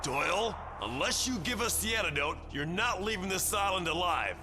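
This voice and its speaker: An actor playing a sardonic, tough, wisecracking action hero in a video game cutscene. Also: male voice, sits high, expressive, moderate pace, music in the background. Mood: threatening. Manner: wisecracking, defiant. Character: sardonic tough action hero, male, trapped on mutant island.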